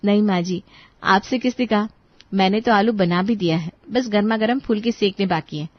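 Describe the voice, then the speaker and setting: A woman playing a polite but exasperated young daughter-in-law in a dramatized story, expressive in the way she speaks. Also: medium pitch, normal speed, no echo, lo-fi sound, lightly acted. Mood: polite, cheerful. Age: young adult.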